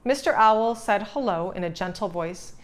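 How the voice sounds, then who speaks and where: A woman reading a children's storybook aloud as narrator, expressive and suited to young listeners. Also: medium pitch, normal speed.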